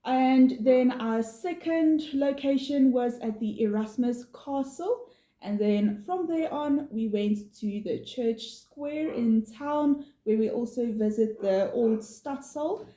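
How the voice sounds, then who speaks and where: A woman from South Africa with a fairly high, mellow voice, not a native English speaker, explaining things steadily as she co-hosts a casual vlog. She is recorded in an echoey room. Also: slow pace. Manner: steady, informative, with fillers. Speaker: vlog co-host.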